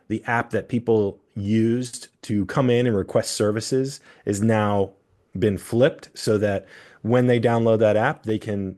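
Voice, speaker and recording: Man, lowish voice, podcast host, measured, steady, long explanations. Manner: measured, steady, explains his views at length. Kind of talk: casual political discussion.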